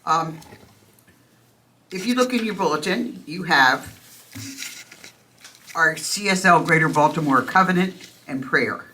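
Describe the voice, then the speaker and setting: A woman's voice: sits low, lively intonation, measured pace, pauses often, sharp tone, slight background noise, rough recording. A woman hosting a church service at a stand microphone, chatty and self-deprecating, with loose fillers. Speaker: host. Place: church meeting room.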